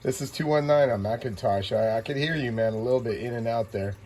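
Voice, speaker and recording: A man's voice: medium pitch, fast talker, a little mellow. An American man talking as a CB radio operator, casually making long-distance contact in a laid-back way with call signs. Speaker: CB radio operator and channel host. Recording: noisy CB radio room ambience. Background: radio noise.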